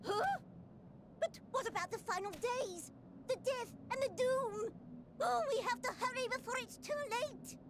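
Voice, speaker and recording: An actor voicing a small, eager and earnest rabbit-like creature in a video game, excitable and pleading in manner. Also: male voice, very high-pitched, measured pace. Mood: flustered, alarmed. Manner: excitable, pleading, flowery British phrasing. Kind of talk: video game cutscene acting.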